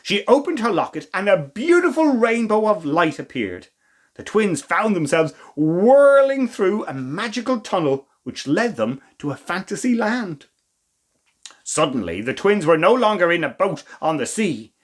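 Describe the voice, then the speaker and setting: A man reading a children's story as a storytime host, with expressive, theatrical emphasis and asides to the listeners. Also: sits high, measured pace. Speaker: storytime reader.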